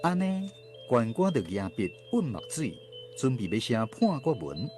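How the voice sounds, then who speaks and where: An actor playing a theatrical storyteller, narrating an old folk tale in a radio drama. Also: male voice, mid-range voice, sing-song, unhurried, over music. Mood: dramatic, suspenseful.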